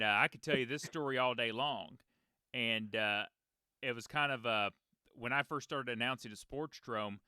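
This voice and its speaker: Man, 30s, deep voice, race announcer, podcast host, rambling, dry close sound. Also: pushed and bright, clean tone, no echo. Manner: rambling storyteller, many fillers and restarts. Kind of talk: casual podcast chat.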